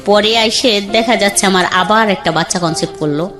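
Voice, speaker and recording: A woman's voice: mid-range voice, moderate pace, fairly bright tone. A woman in her thirties with a Bangladeshi colloquial accent, plainly telling her life story and acting out what others said. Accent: Bangladeshi colloquial Bengali. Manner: plain conversational, acts out quoted dialogue. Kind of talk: candid storytelling. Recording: faint background music.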